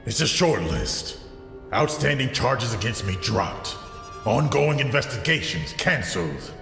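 An actor playing a bitter, menacing villain with a gravelly, forceful, theatrical delivery in an audio drama. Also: male voice, mid-range voice, big pitch swings, a little slow. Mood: commanding. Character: bitter, menacing male villain, a scarred former prosecutor. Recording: reverberant hall, music underneath.

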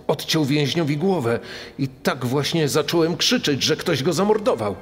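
A man's voice: on the high side, expressive, moderate pace, faint music behind, natural voice. Man acting prison guard testimony, long reverb. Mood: sober recollection. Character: male prison guard recalling a shocking morning. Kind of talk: dramatised testimony. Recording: long reverberant hall echo.

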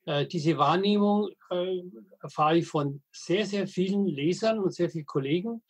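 A man, telling anecdotes as an interview guest with halting, hesitant phrasing and dry humor. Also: high-pitched, slightly rough. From Middle Franconia, Germany. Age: 80s. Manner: halting, frequent hesitations and restarts. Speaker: guest, veteran wastewater engineer and editor. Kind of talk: podcast interview, storytelling.

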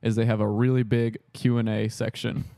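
A man hosting a comedy podcast, leading the segment in deadpan casual banter. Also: fairly low pitch, normal speed, no room sound, studio quality. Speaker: podcast host.

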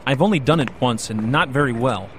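An actor playing a doubting, stubborn reluctant hero in a fantasy audio drama, always questioning. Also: male voice, medium pitch, brisk, noisy background, close to an everyday voice. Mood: defensive. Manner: questioning, skeptical.

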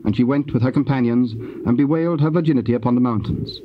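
A man narrating scripture from a script in a solemn, measured and formal way. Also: mid-range voice. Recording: muffled old cassette, faint hiss.

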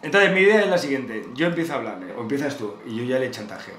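A man's voice: medium pitch, expressive, quick pace, a bit bright, faint music behind. A man in his thirties with a Spain accent, bantering casually as host of a prank show. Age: 30s. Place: small home office, indoors. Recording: echoey room, faint background noise.

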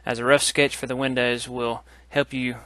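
A man's voice: mid-range voice, level tone, normal speed, a bit bright, no room sound. A man narrating a hands-on craft tutorial in a casual, instructional style. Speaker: woodcarving tutorial host.

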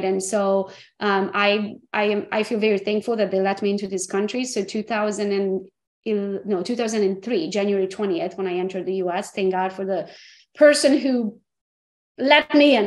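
Woman, 40s, Romanian accent, animated guest on a video call, echoey room. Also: mid-range voice. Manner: lively storyteller, laughs often. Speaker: guest, real estate agent. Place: home office with pink neon.

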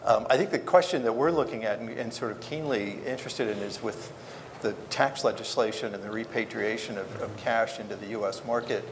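A man's voice: lowish voice, brisk. A middle-aged man giving unscripted commentary as a panelist on a conference stage. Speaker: panelist, investment banker. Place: conference stage in a large hall. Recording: reverberant hall, faint background noise.

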